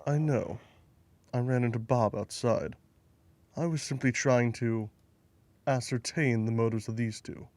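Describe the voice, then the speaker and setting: A man's voice: medium pitch, a little slow, long pauses, a little mellow, dry recording, lightly acted. A man playing a cold, menacing ruler and father in an audio drama, speaking in a blunt, formal way. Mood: cold, controlled anger.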